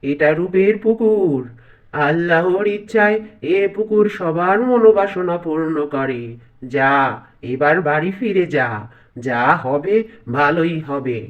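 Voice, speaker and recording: A man acts out an old village holy man in a cartoon fairy tale, recorded with a dull, muffled sound. The voice is very high for a man. He speaks with calm command and solemn, knowing weight. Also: slow pace.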